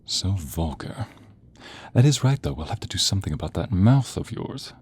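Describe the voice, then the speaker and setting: A man playing a sadistic, gloating monster hunter in an audio role play, with slow menace, breathy whispers and sharp commands. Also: low voice, sing-song, exaggerated voice. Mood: taunting, gloating. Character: sadistic male monster hunter, mad, theatrical, gloating villain.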